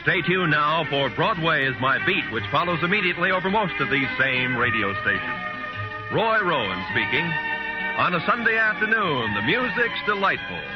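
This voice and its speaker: A man's voice: fairly high pitch, very animated, moderate pace, loud music behind the voice, rough recording. A man reading scripted credits and promos as a 1950s radio announcer.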